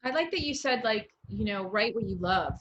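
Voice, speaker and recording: An American woman with a bright, crisp-edged tone hosts a casual literary chat. She is encouraging and works through her thoughts aloud as she talks. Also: mid-range voice, expressive, moderate pace, lo-fi sound. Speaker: interviewer, host, poet.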